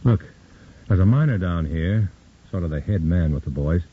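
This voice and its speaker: An actor playing a tense undercover agent in 1950s radio drama style, clipped and guarded, on an old radio recording. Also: male voice, deep voice, lively intonation, moderate pace, slightly rough, phone-quality sound. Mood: tense, urgent. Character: tense undercover agent posing as a party man.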